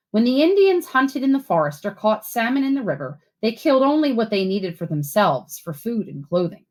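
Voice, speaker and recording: A woman reading a children's picture book aloud like a teacher, with clear phrasing and expressive, instructive delivery. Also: medium pitch. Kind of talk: storybook read-aloud for children.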